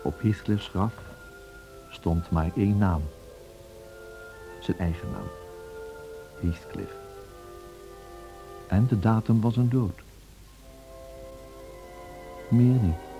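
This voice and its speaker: Radio play gentleman, low voice, measured and reflective, literary narration, clear music underneath. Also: lo-fi sound. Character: Lockwood, reflective gentleman visitor narrating his departure.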